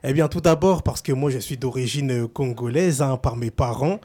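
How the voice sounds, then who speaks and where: Male guest from France, relaxed explainer, lots of "euh", mid pitch. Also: normal speed. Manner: hesitant, many fillers. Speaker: journalist and author guest. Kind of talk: casual talk-show chat.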